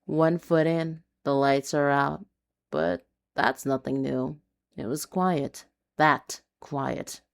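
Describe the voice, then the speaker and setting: A woman's voice: very deep voice, fairly even intonation, slow, vocal fry, clean tone, exaggerated voice. A woman voicing a wary, streetwise man in a noir story, blunt and casual.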